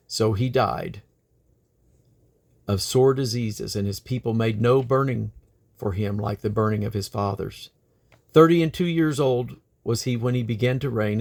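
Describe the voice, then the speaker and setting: A man reading the Bible aloud in a creaky voice, delivered deliberately, phrase by phrase, with pauses. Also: mid-range voice, a little mellow. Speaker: Bible reader. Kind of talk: scripture reading aloud from the King James Bible.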